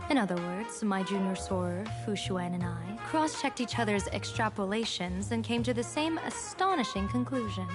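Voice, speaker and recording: An actress playing an amused, enigmatic general and diviner in a video game, speaking in a composed, formal, theatrical way. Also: female voice, mid-range voice, natural voice. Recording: game music behind the voice.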